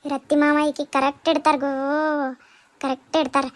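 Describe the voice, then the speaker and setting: A woman plays a spiteful relative who gloats over family quarrels. The voice is high and quick with little melodic swing. She taunts smugly in a North Karnataka accent, in family drama style. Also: little pitch movement, no echo, natural voice. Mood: smug taunting. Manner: taunting, smug.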